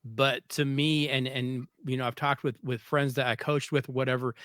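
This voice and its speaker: A man's voice: mid-range voice, little pitch movement, moderate pace, a bit bright, no echo, studio quality. An American man hosting a conversational interview, speaking earnestly and emphatically and often repeating his phrases. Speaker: podcast host, former coach.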